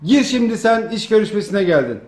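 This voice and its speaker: A man's voice: high voice, very animated, fairly quick, darkish tone. A man casually directing a comedy skit, prompting and instructing the others in a bossy way. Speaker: off-camera host directing a family comedy skit. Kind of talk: casual improvised skit banter. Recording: roomy echo with faint noise.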